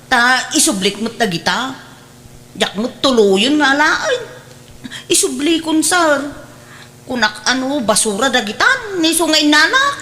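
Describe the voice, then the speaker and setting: In a reverberant hall sound, a brash young Ilocano housemaid with a high, bright voice. She is sassy and whiny in full radio drama style, with a Filipino accent. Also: expressive, a little slow, heavily acted. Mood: pleading. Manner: sassy, whiny, exaggerated delivery. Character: brash, lazy, thieving young housemaid who talks back.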